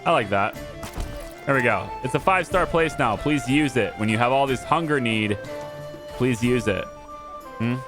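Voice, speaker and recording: A man with a hoarse voice that he says he is losing, thinking aloud in casual let's-play commentary as he plays a game. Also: mid-range voice, normal speed, pauses often. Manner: thinking aloud, muttering to himself and the viewers. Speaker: gaming YouTuber. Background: game music.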